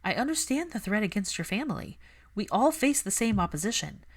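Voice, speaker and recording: A woman's voice: mid-range voice, big pitch swings, fairly quick, pitched above the performer's own voice. A stubborn young woman healer as a woman narrator plays her argues with firm, exact diction. She sounds earnest and principled, in the style of audiobook dialogue.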